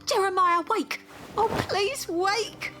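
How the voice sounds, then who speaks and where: Very shrill, frightened wife. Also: female voice, lively intonation, slow pace, sharp tone, exaggerated voice. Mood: terrified. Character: terrified, superstitious wife haunted by her house. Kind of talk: audio drama acting. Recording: long reverb with faint music.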